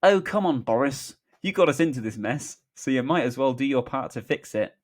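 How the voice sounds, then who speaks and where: A man's voice: mid-range voice, moderate pace, close to an everyday voice. A man voicing a reluctant, reasonable male politician, uneasy and weary, in a comic script reading. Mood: exasperated. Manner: hesitant, reproachful. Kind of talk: comic script read-through.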